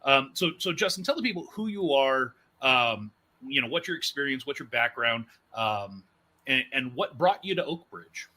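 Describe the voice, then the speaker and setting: A man hosting a casual interview show in a chatty, animated manner. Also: medium pitch, normal speed. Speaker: podcast host and executive producer.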